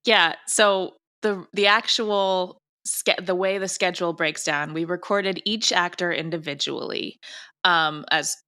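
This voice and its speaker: Bright, talkative woman being interviewed. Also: on the low side, fairly even intonation, unhurried. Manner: talkative, emphatic. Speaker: audio drama director, interview guest. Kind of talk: casual interview chat.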